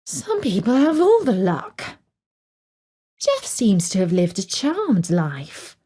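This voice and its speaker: A woman's voice: mid-range voice, huge pitch swings, takes it slowly, dark tone, slightly rough, full character voice. A woman voicing a harried, superstitious mother in a children's story, exclaiming dramatically. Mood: wistful.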